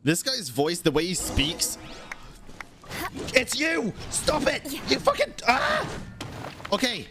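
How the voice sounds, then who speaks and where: A man's voice: extremely high pitch, huge pitch swings, slow, vocal fry, long pauses, over music. A man giving live, sweary reaction commentary as a game streamer, given to exasperated outbursts. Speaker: gaming streamer.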